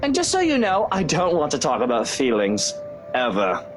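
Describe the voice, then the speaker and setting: An actor playing an arrogant, sulky young husband, sarcastic and dismissive. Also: male voice, fairly high pitch, wildly swooping pitch, moderate pace. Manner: sarcastic, dismissive, theatrical swoops. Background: faint game music.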